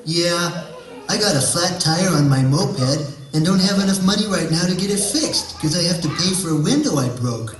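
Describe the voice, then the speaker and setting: A man's voice: high voice, lively intonation, normal speed, music in the background. A man playing a hapless, glum young neighbor puppet in a comedy skit, hesitant and plaintive. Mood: glum, complaining. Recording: echoey room, distant mic.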